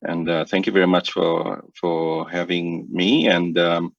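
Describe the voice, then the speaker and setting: A man's voice: low-pitched, fairly even intonation, a little slow, creaky, no room sound. A man from Indonesia joining a panel remotely, introducing himself hesitantly with many fillers. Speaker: panel guest. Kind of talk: introductory remarks. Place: remote office. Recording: dull dry remote audio.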